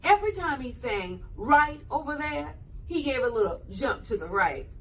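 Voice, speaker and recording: A woman telling a children's story in an expressive, dramatic and deliberate way. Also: a little high, a little hiss or hum, low-quality recording. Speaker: storyteller, librarian.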